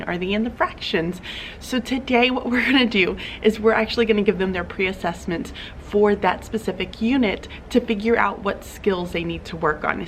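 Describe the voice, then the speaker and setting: A woman's voice: mid-range voice, slight background noise. Chatty woman teacher vlogging. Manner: chatty, upbeat, lots of fillers. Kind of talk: casual classroom vlog. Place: classroom.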